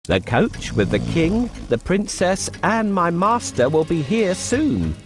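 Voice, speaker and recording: A man voicing a clever, boastful talking cat in a theatrical, cocky way for a children's animated storybook. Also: high voice, slow, full character voice. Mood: scheming. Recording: music behind the voice. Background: music.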